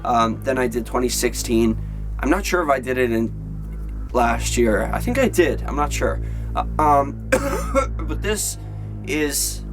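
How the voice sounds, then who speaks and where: Male video host, casual and hesitant delivery, lowish-to-middle everyday pitch, clear music bed behind. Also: lively intonation, a little slow. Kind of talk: casual chat to viewers.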